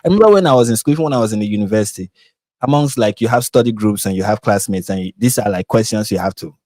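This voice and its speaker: A Nigerian man hosting a live discussion, talking with animated emphasis and frequent restarts, his voice rising when he stresses a point. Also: lowish voice, racing, no echo. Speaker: host.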